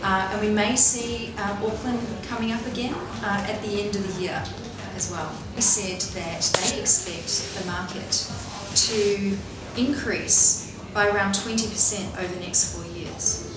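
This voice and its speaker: A middle-aged woman, answering interview questions in a measured, businesslike way with frequent fillers and restarts. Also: medium pitch, steady tone. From Australia. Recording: echoey room, far from mic, loud background noise.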